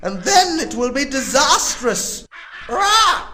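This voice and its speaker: High, squawking old parrot chief. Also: very animated, bright, forceful tone, slight background noise, full character voice. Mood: urgent warning. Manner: slow, emphatic, squawking. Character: worried, wise old parrot chief warning his flock. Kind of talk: children's animated story character acting.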